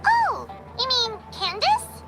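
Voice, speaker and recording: A woman voicing a small, excitable childlike fairy companion with a squeaky voice in a video game. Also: takes it slowly, exaggerated voice. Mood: excited, curious. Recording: loud game music under the voice.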